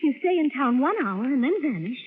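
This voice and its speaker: A woman's voice: high-pitched, sing-song, a little fast. An American actress playing a worried, insistent fiancée in her early twenties in a 1940s radio drama. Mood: worried, pleading. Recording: tinny 1940s radio with faint noise.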